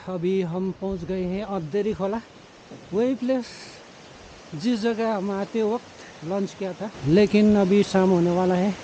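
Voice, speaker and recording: Slow, high-voiced man vlogging outdoors. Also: dark tone, slight background noise. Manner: mixes English words and phrases into speech. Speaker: travel vlogger. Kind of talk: casual vlog narration to camera while trekking. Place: outdoors on mountain roads. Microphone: camera held at arm's length. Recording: slightly dull, muffled camera audio.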